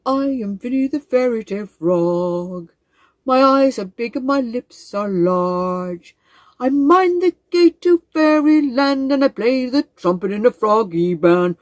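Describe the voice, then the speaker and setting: A wise old frog who talks in slow, weighty phrases, his voice pitched a little lower than the teller's own. A woman acts him out in a storybook reading. The sound is close and dry. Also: lively intonation, darkish tone, dry recording. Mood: grand.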